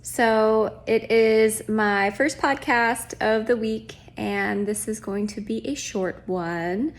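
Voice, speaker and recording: A woman's voice: fairly high pitch, slow. A woman hosting her own podcast as a life coach, talking casually with lots of fillers, sounding nasal from a cold. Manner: chatty, many fillers like "um" and "like". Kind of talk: casual solo podcast talk.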